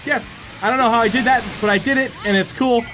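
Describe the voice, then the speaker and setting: A man's voice: very high-pitched, fairly even intonation, fast, crowd noise. Guy doing lets play commentary, rambly and sweary, repeats himself, game music behind him. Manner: profane, rambling, often repeats words and exclaims. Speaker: gaming video creator and player. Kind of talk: casual live gameplay commentary.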